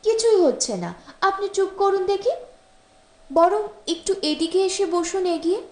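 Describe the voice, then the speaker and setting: A woman voicing a teasing, hospitable married mother in an audiobook story, gently reproachful and bossily caring. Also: very high-pitched, expressive, unhurried, voice pushed up. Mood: bossy caring. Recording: roomy, echoing voice recording.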